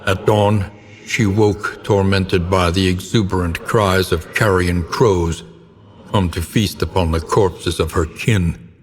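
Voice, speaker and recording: A grim, foreboding storyteller in a dark gothic game. The man's voice sits very low and moves slowly, each phrase weighed with theatrical gravity. It rings out in a long reverb. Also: fairly even intonation. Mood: somber, dramatic. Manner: grave, theatrical, measured, ornate delivery. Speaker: narrator. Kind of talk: dramatic scripted gothic game narration. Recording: long reverb, noisy ambient bed.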